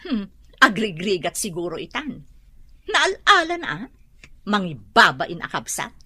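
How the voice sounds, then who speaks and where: A woman's voice: mid-range voice, big pitch swings, a little slow, bright, forceful tone, breathy edge, heavily acted. A woman playing a haughty, touchy wealthy employer in an audio drama, scolding and scornful. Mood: smug, disdainful. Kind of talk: melodramatic audio drama acting.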